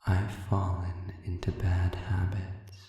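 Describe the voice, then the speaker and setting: A man playing a sadistic, manipulative demon in an intimate audio roleplay, murmuring smoothly with false humility, deliberate and breathy with long pauses. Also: sits low, completely flat delivery, warm tone, recorded from a distance, lo-fi sound. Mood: measured, feigned contrition. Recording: cavernous reverb, voice set back.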